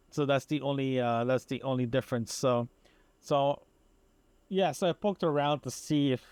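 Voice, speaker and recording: A man talks through a casual audio diary in a dry, close recording. His delivery is halting and meandering, circling back over phrases in an unpolished, conversational way. The pitch is average for a man. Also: darkish tone, clear, resonant tone, no echo. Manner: halting, repetitive, self-interrupting. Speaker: podcast host.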